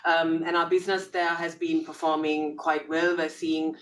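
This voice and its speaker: A woman's voice: completely flat delivery, normal speed. A woman speaking as a company executive, giving a business update on an earnings call. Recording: distant mic, faint rumbling noise.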